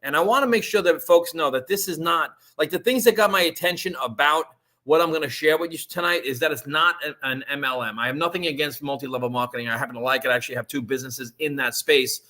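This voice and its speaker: Bright-voiced rambling New man in his fifties. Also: on the high side, quick pace. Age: 50s. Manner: conversational, rambling with frequent restarts and "right?" checks. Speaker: online business presenter. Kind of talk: live-streamed sales pitch.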